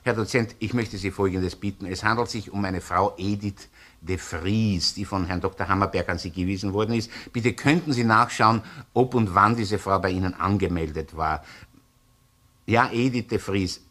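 An actor playing a gruff, shrewd senior police inspector with a Viennese accent in a crime drama, sardonic and probing. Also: male voice, lowish voice, normal speed, fairly bright tone, lightly acted. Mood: courteous, inquisitive. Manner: sardonic, interrogating, hesitant muttering between questions. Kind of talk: naturalistic crime radio or TV drama acting.

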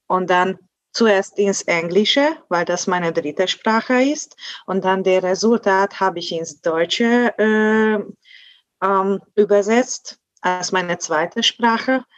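A woman in her thirties, a native Hungarian speaker, talking slowly on a video call through earphones, often restarting as she searches for words. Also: lowish voice, no echo. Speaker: translator, guest. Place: indoors at home in front of a blue backdrop. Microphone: earphone headset on a video call. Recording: dull lo-fi video call audio.

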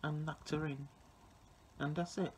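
Woman, 30s, deep-pitched, casual vlogger with halting delivery; handheld phone sound with clear background noise. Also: flat delivery, a little mellow. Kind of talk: casual vlog chat. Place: small indoor rooms at home, kitchen. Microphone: phone at arm's length. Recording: noisy handheld phone recording with room ambience.

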